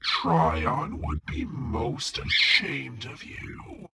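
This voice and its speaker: Tyrannical robot overlord, gravelly and husky, menacing, wide dramatic swings, cartoon villain. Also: male voice, fairly high pitch, huge pitch swings, very slow, bright, forceful tone, full character voice. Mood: enraged. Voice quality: gravelly, raspy. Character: tyrannical evil robot warlord, vengeful, grandiose, hateful. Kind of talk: scripted villain voice acting demo.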